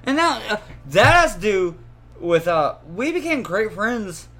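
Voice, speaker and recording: A man in his thirties with a sharp, bright voice, rambling through personal stories in casual chat. His pitch swings about freely, and he swears as he goes. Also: unhurried, faint music behind. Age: 30s. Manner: rambling, animated, profane.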